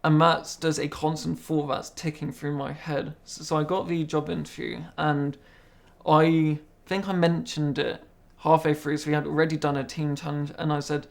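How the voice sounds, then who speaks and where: Young man with a stammer, gravelly voice. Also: on the high side, level tone. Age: young adult. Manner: stammers, repeats words, speaks slowly and carefully. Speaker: interviewee, apprentice. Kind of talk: interview conversation.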